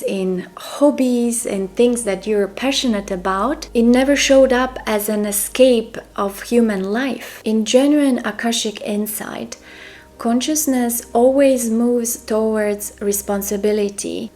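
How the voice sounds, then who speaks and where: A woman from Croatia with a Croatian accent, a spiritual mentor talking earnestly and deliberately to camera. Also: medium pitch, warm tone. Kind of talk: talking-head monologue to camera.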